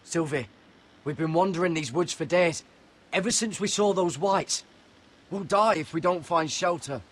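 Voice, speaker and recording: An actor playing a resolute young protector. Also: male voice, on the high side, normal speed, close to an everyday voice. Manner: firm, resolute. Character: determined young man, a loyal protector in the wilds. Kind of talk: video game cutscene acting. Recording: game score playing behind.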